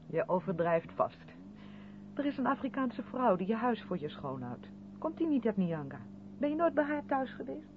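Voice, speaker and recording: A hissy, phone-thin old radio drama recording carries an earnest, strict woman. Her pitch moves in lively swings as she probes and insists, emphatic in seventies radio style. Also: moderate pace. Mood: probing. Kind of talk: 1970s Dutch radio play acting. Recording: noisy, tinny band-limited old radio recording.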